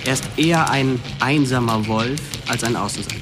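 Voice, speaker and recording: An assured criminal profiler in a radio play, acted by a man. He reads a report in a measured, clinical way at medium pitch. Prominent music runs underneath. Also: lightly acted. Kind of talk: radio drama, formal report reading.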